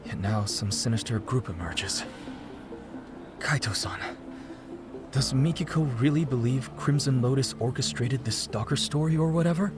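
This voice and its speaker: An actor playing an anxious, easily shaken middle-aged father in a game cutscene, speaking in a proper but halting, emotional way. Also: medium pitch, animated, music in the background, close to an everyday voice. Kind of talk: dramatic game cutscene dubbing.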